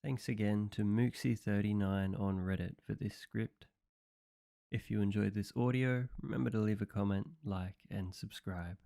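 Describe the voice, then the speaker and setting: A man's voice: fairly low pitch, steady tone, moderate pace, gravelly, dark tone, no room sound, studio quality. A man who voices audio roleplays, speaking directly to listeners in a sign-off. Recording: dull sound, faint background noise.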